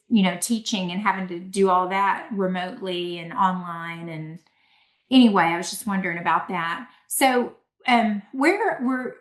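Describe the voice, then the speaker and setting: A woman hosting an interview, asking questions in a friendly, hesitant way. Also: medium pitch. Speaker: podcast host. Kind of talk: conversational interview. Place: Central Texas. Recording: echoey room.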